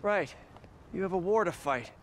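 A man's voice: high-pitched, animated, moderate pace, a little hiss or hum. An actor playing an upbeat, boyish, wisecracking young male superhero in a video game, chatty and eager. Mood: relaxed, wry. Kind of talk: video game cutscene acting. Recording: loud backing music.

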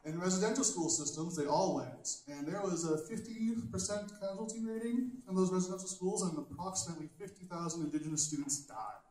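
A young man doing a stand-up comedy set on a small stage with a handheld mic, telling dark jokes bluntly and chatting with the crowd between jokes. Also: sits high, fairly quick, hardly any pauses. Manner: dark, blunt humor with conversational asides. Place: large wood-panelled hall with a small stage. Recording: very echoey hall from a distant camera.

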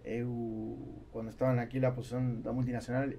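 A man with an Argentine Spanish accent talks casually as a podcast guest, slipping in Spanish words. His voice is mellow and creaky, recorded on a studio mic with faint background noise. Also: medium pitch, little pitch movement, brisk. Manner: mixes Spanish words into speech. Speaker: guest entrepreneur. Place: small foam-padded indoor podcast studio. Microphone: studio mic on boom arm.

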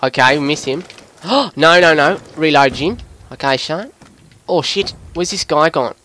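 A man putting on an Australian accent as an excitable, sweary bloke giving live video game commentary in a voice pushed higher than usual. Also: measured pace, slight background noise. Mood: excited, exasperated. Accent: put-on Australian. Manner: excitable, sweary, breaking into giggles at himself. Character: excitable Australian bloke gamer, jokey larrikin.

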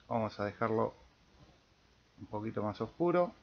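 A man with an Argentine Spanish accent giving an informal software tutorial, thinking aloud as he demonstrates. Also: on the low side, lively intonation, normal speed, highs cut off. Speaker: amateur radio video tutorial host.

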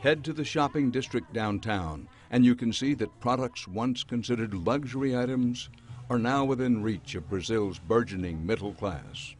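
A man reporting a documentary news story in a measured, scripted voice-over. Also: mid-range voice, faint music behind.

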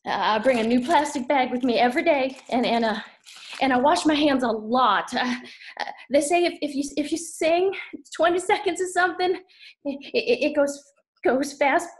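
An actress playing an anxious, devoted daughter in a theatrical monologue, speaking haltingly and emotionally. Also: female voice, high voice. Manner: halting, repeating words, emotional. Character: anxious, devoted Southern daughter kept from her mama's bedside.

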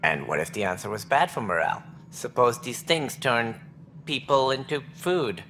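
An actor voicing an anxious, formal alien official in a video game, speaking in a clipped, precise way. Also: male voice, mid-range voice, lively intonation, normal speed, a bit bright, slight background noise. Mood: wary. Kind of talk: video game dialogue acting.

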